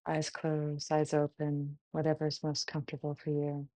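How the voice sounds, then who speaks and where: A woman guiding a meditation, giving gentle instructions in short phrases with long pauses between them. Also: sits low, completely flat delivery, no room sound. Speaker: meditation guide. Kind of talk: guided body scan meditation.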